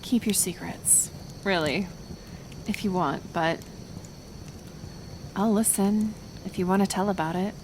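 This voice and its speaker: A woman playing a kind, stubborn healer of the woods in an intimate roleplay audio, speaking softly and hesitantly, with soothing pauses. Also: medium pitch, background noise, natural voice. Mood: awkward, reassuring. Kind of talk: roleplay audio acting, intimate one-sided dialogue.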